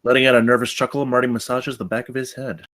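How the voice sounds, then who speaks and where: A man's voice: mid-range voice, flat delivery, fast talker, fairly bright tone. A man reading stage directions as the narrator of a screenplay table read.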